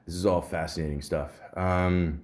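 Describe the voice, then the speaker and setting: A man with a deep, somewhat muffled voice and a sore throat, rambling casually and grumbling with some swearing as a solo podcast host. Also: fairly even intonation, moderate pace, darkish tone. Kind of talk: casual solo podcast rambling, reading symptom lists aloud.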